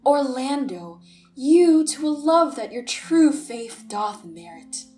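Woman playing a slow, high-voiced melancholy courtier. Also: very animated, warm tone, soft background music, full character voice. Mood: solemn, wry. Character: melancholy, contemplative, sardonic courtier in Shakespearean comedy. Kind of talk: Shakespearean radio play acting.

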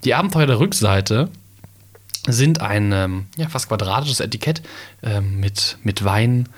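Halting young man reading a label. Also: lowish voice, lively intonation. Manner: slow and halting, many fillers, playful. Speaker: podcast co-host. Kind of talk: casual chat, reading a bottle label aloud.

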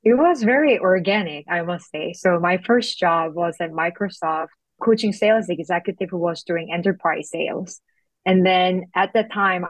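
A woman's voice: lowish voice, no room sound, rough recording. A young woman from South Korea, a guest in an interview, speaking thoughtfully and reflectively with frequent fillers. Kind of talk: interview conversation.

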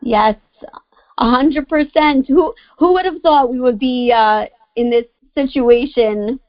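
A woman host leads a conversational interview, upbeat and encouraging with her guest. Her voice sits somewhat high, and the recording is dry and slightly muffled. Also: slow, no echo. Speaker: podcast host.

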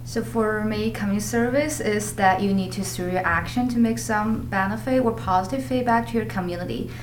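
Measured teenage girl from China. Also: medium pitch. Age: teens. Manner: measured, slightly non-native phrasing. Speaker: student guest. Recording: echoey room, faint noise.

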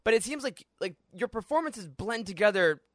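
A man's voice: sits high, lively intonation, normal speed, a bit bright, dry recording, clean studio sound. A man co-hosting a casual podcast interview in an agreeable, informal way. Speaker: podcast co-host.

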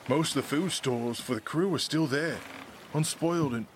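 An actor playing a nervous, deferential common sailor in an audio drama, speaking hesitantly in rough, unschooled grammar. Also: male voice, fairly high pitch, moderate pace, slight background noise, lightly acted. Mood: uneasy, deferential.

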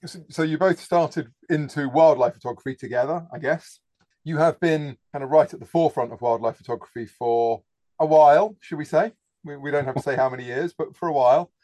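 A man's voice: on the high side, brisk, mellow. A man co-hosting a podcast, introducing guests in a jokey, enthusiastic way. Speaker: podcast co-host. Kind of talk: casual podcast chat.

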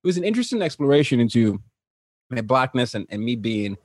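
A man from Nigeria, raised across several countries, speaking as an interview guest in casual, personal storytelling with an animated manner. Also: mid-range voice, fast talker, no echo, studio quality. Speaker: interview guest, cultural translator and consultant.

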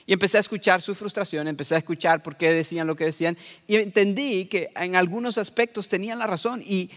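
A middle-aged preacher born in Venezuela speaks with a somewhat raised, light voice, warm in his earnestness toward his listeners. His words carry a long reverb, as if spoken in a large hall. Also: high voice, fast. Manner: earnest, addresses listeners as beloved. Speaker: pastor. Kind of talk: sermon.

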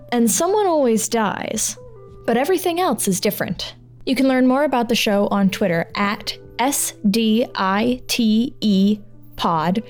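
A woman's voice: medium pitch, darkish tone. A woman giving a scripted sponsor read for a podcast.

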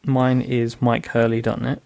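A man co-hosting a casual podcast, with a voice in a middle pitch and a gravelly edge. He slips in dry, teasing remarks. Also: monotone, a little slow, a little mellow. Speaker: podcast co-host.

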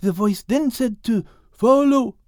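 A man voicing a big, timid, excitable male cat in an audiobook, with a wide-eyed, exclaiming manner. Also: extremely high pitch, unhurried, no echo, clean studio sound, pitched above the performer's own voice. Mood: excited storytelling. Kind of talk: audiobook character acting.

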